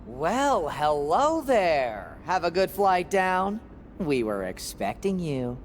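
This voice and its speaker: A smug, slippery TV producer, with a pitch that bounces around as he gloats and squirms. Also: sing-song, slow, a little hiss or hum. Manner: taunting, then pleading.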